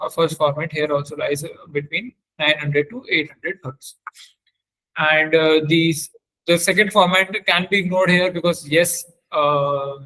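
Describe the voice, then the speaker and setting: A man presenting a technical webinar as a trainer, explaining haltingly and often repeating and correcting himself. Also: fairly high pitch, pushed and bright, low-quality recording. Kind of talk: technical lecture with screen demonstration.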